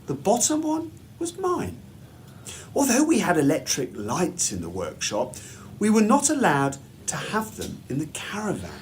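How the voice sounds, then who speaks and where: A man reads a children's story aloud in a measured, deliberate, expressive way, like a headmaster at storytime. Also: high voice, slight background noise.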